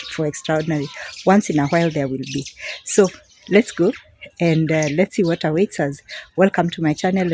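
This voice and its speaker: A woman's voice: lowish voice, darkish tone, a little hiss or hum, poor audio. A middle-aged Kenyan woman with a Kenyan accent, chatting casually to her phone camera as she walks outdoors, often repeating words for emphasis. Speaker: vlogger. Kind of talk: casual vlog to camera while walking. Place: outdoors, walking in a quiet semi-rural area. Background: birds and crickets.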